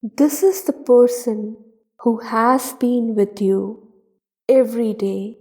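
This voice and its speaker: Very slow, mellow woman guiding meditation. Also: fairly high pitch. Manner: soothing, deliberate, with long pauses between short phrases. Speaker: meditation guide. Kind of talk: guided meditation narration.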